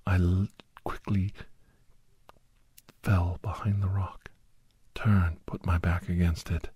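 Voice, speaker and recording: Man acting a slow, whispery, grave ex-soldier. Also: sits low, exaggerated voice. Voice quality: breathy, husky. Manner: hushed, halting, often dropping into whisper. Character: doctor and ex-soldier recounting a battle, grave, anxious. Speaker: storyteller. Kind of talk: ASMR first-person dramatic story narration.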